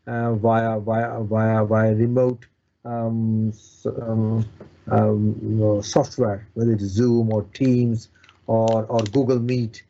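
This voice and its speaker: A man from Bangladesh speaking as a surgeon being interviewed, explaining in a halting way with repeated words and restarts. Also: fairly low pitch, little pitch movement, warm tone, highs cut off, a little hiss or hum. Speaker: consultant bariatric surgeon, interview guest.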